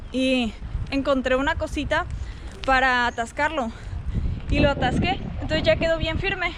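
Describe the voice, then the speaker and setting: High-pitched young Mexican woman vlogging casually. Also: noisy background. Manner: chatty, colloquial, acts out reported dialogue. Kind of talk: casual vlog storytelling.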